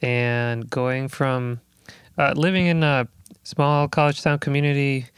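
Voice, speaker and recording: Smooth-voiced man, reflective guest. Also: mid-range voice, fairly even intonation, a little slow, no room sound, clean studio sound. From Puerto Rico. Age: 30s. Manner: thoughtful, reflective, many fillers. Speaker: guest musician. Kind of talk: casual interview talk. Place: recording studio.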